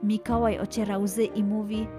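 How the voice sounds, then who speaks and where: A woman reading a scripted children's story as narrator, with careful, expressive storytelling. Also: medium pitch, moderate pace. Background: background music.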